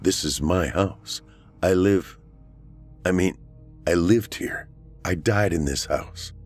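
A man's voice: low-pitched, slow pace, soft background music. A man voicing the wistful, frustrated ghost of a middle-aged man, plaintive, in an audiobook.

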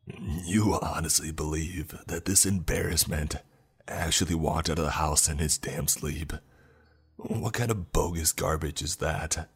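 A man plays an old grandfather's ghost in a horror tale. The voice is low and rough, loud with spite and accusation. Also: a little slow, full character voice. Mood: furious, scornful. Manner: angry, accusatory.

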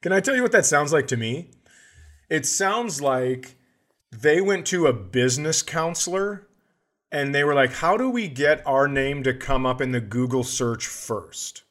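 A man's voice: on the high side, animated, a little slow, a bit bright. A man hosting a podcast with casual, sarcastic comedic banter. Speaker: podcast host.

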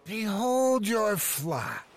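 A man playing an eerily gentle alien cult devotee in an audio horror story, speaking slowly and fervently with a creaky voice. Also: high-pitched, very animated, faint music behind, exaggerated voice. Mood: gentle, coaxing. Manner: slow, dramatic, fervent preaching delivery.

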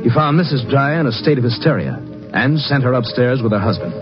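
A man narrates an old radio drama from a script at a middling pitch. The recording is muffled, with music clearly behind him. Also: lively intonation, brisk.

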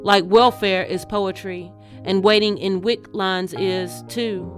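A woman poet reading her poem aloud in a measured, deliberate way. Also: medium pitch, fairly even intonation. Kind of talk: poetry reading. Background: music.

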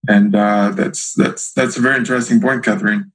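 Halting man. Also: lowish voice, fairly even intonation, poor audio. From Brazil. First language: Portuguese. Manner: thoughtful, halting, repeats words while searching. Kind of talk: casual group discussion.

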